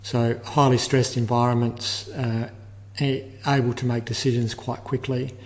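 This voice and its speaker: An older man giving measured, explanatory answers as an interview guest, repeating words as he thinks. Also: medium pitch, little pitch movement. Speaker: interview guest, air traffic control manager. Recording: long reverberant room echo.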